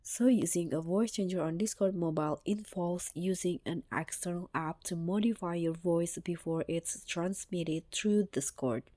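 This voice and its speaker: Mellow low-voiced woman hosting a tutorial. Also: normal speed, hardly any pauses, no room sound. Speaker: tutorial host. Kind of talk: casual step-by-step tech tutorial narration.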